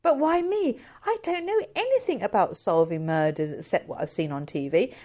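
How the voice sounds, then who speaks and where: A woman's voice: mid-range voice, animated, moderate pace, warm tone, voice pushed up, close to an everyday voice. A woman voicing a puzzled, protesting girl caught up in a storybook ghost mystery. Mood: bewildered protest. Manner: bewildered, questioning.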